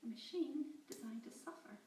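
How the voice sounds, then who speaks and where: Woman doing soft, patient captor, kind tone, roomy distant sound. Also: on the high side, animated, normal speed, lightly acted. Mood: gentle. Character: calm, gentle woman captor with patient kindness. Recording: roomy, distant, faint background hiss.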